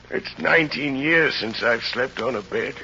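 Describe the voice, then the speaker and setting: An actor in an old radio drama playing a desperate, bitter, hungry released convict who speaks in intense, halting, repeated phrases. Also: male voice, mid-range voice, gravelly, bright, forceful tone, heavily acted. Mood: stunned, disbelieving. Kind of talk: 1930s-40s radio drama acting. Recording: dull, noisy old radio recording.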